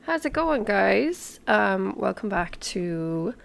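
Woman hosting a chatty solo podcast, hoarse from a cold, heavy vocal fry. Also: mid-range voice, sing-song, slow pace, heavy background noise. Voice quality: gravelly, croaky. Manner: rambling, chatty, many fillers. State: croaky from a cold. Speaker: podcast host. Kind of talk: casual solo chat. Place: New York.

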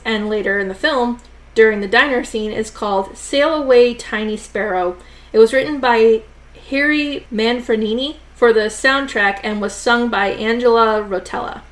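A woman with a somewhat high voice hosts a casual horror movie review podcast. She talks in a chatty, rambling way with plenty of fillers, a little slower than usual because of a sore jaw. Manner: chatty, many "like" and "you know" fillers. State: sore jaw, talking a bit slowly. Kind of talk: casual conversational film review.

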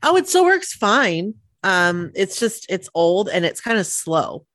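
A woman in her thirties co-hosting a podcast, telling stories in relaxed casual chat with lots of fillers, a bit sniffly from the cold. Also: mid-range voice, a little slow, no room sound, clean studio sound. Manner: relaxed storytelling with many fillers. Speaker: podcast co-host.

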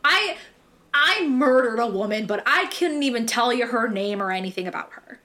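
A young American woman with a fairly high voice tells true crime as a podcast host. She is casual and irreverent, leaning on slang and swearing freely as she narrates. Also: expressive, moderate pace, pushed and bright.

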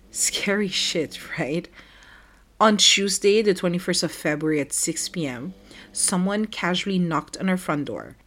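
A middle-aged woman hosting a podcast, telling a personal story in a candid, wry, conversational way, her voice still slightly rough after the flu. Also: fairly low pitch. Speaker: podcast host and life coach. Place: home.

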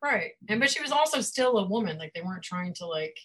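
A woman talking casually in an interview about her writing and publishing, chatty, self-deprecating and quick to laugh. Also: medium pitch, animated, a bit bright. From Florida. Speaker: guest author and publisher.

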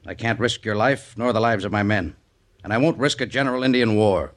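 An actor playing a courteous but firm middle-aged army major at a frontier fort, in a formal 1950s radio drama style. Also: male voice, fairly low pitch, brisk, natural voice. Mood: firm, concerned.

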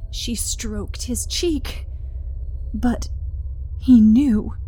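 Woman storyteller, higher pitched, very slow, deliberate, suspenseful horror fiction narration. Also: warm tone, husky, low-quality recording.

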